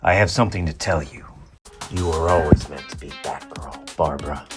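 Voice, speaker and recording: An actor playing a grave, brooding billionaire vigilante in a comic dub, solemn and halting. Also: male voice, very deep voice, music in the background. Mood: remorseful, solemn. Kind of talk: dramatic comic dub acting.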